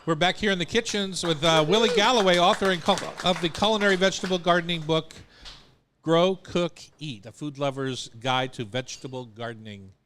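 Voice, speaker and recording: A man's voice: on the high side, a little fast, fairly bright tone, slight background noise. Teasing middle-aged male radio host. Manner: teasing, joking. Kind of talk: casual, bantering radio hosting.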